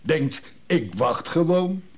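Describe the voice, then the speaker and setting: An actor playing a gruff, sly old craftsman in a care home in a Dutch radio play, blunt and grumbling with a chuckle. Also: on the high side, expressive, slow pace, a little hiss or hum. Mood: grumbling, fond. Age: older.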